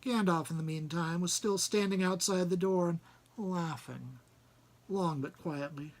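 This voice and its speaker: A man's voice: sits high, measured pace. A man reading and hosting, moving between storybook narration and informal commentary. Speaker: reader and host.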